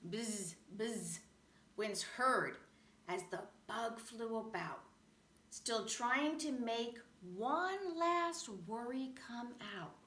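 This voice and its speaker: A woman reading a children's picture book aloud in an expressive, rhythmic way. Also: a little high, extremely slow. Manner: animated, rhythmic rhyming delivery. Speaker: storybook reader. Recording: roomy echo, faint background noise.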